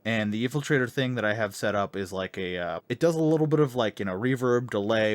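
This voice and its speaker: A young man with a naturally deep, bassy voice talks casually, wandering through explanations with frequent fillers. He's close to the mic in a dry home studio with no room sound. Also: no echo. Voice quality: deep bass voice. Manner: loose, rambling explanation with many fillers. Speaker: music producer. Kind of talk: casual tutorial talk.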